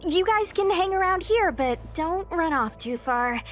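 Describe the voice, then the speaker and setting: A woman's voice: extremely high pitch, animated, measured pace, a bit bright, full character voice. A woman acting an eager, friendly, chatty young knight in a fantasy game. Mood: friendly, awkward.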